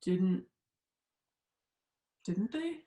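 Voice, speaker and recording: A woman's voice: medium pitch, expressive, very slow, warm tone. A young woman playing an insistent, haunted student searching for the truth in a staged reading, earnest and full of pressing questions. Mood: urgent, probing. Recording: roomy, echoing sound.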